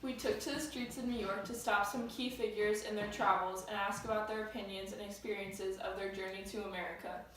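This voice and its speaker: A woman's voice: medium pitch, fairly even intonation, a little fast, hardly any pauses, fairly bright tone, natural voice. Teen girl as earnest co-anchor, heavily echoing room. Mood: formal. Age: teenager. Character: earnest female co-anchor in a newscast skit. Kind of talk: scripted newscast reading. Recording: very echoey room, distant mic, faint noise.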